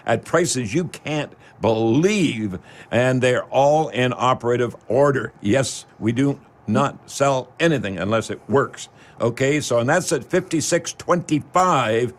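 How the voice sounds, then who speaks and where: Folksy Canadian man hosting local radio. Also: mid-range voice. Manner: rambling, folksy, addresses listeners directly as folks. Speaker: radio show host. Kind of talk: live local radio talk with folksy promotional patter.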